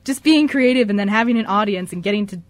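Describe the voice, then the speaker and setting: A woman's voice: mid-range voice, quick pace, no room sound. A young woman chatting casually with friends on a radio show, effusive and given to repeating herself. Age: young adult. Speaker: student radio show writer and actor. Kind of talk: casual group chat.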